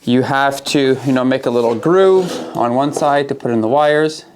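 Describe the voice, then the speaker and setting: A man's voice: medium pitch, normal speed, darkish tone, a little hiss or hum. Casual, emphatic man presenting a review. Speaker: arborist crew boss and video presenter. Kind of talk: casual product review talk to camera.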